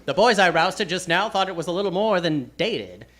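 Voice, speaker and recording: A man's voice: sits high, lively intonation, moderate pace. An actor playing a wry, wisecracking hard-boiled male library detective, narrating and talking in a noir radio drama. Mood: wry, needling. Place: theater stage. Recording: loud music under voice. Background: plucked guitar music.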